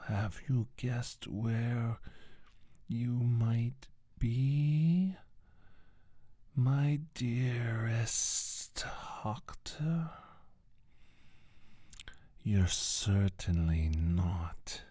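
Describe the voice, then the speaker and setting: A man voicing a menacing alien scientist in a soft-spoken ASMR roleplay, breathy and half-whispered, with a pompous, formal, deliberate delivery. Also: medium pitch, no echo. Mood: silky, sinister. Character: menacing male alien scientist bent on world domination.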